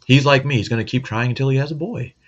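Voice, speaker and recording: A man's voice: mid-range voice, fast talker, dull audio. A middle-aged man hosting a casual monologue, blunt, profane and joking. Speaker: host.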